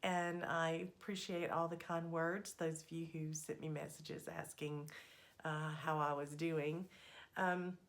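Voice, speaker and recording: A woman hosting a needlework vlog, her voice still a little scratchy as it recovers, talking in an unhurried, friendly way with plenty of ums. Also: low voice, level tone. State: slightly scratchy voice, recovering from a cold. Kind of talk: casual chatty progress update.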